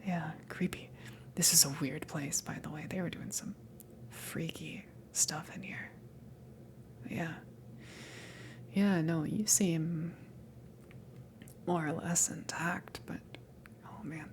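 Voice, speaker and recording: A woman playing a friendly, awkward alien salvage worker in a whispered ASMR roleplay, rambling casually in a soft, breathy, halting way. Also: sits low, fairly even intonation, background noise. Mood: casual, uneasy. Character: friendly big-headed, tailed alien salvage crew member, awkward, easygoing.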